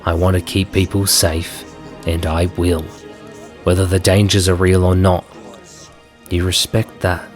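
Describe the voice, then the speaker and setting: Easygoing, protective male adventurer for fantasy audio drama, played by a man; low, slow, teasing delivery. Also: flat delivery. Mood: firm, teasing. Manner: teasing, reassuring, colloquial. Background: music.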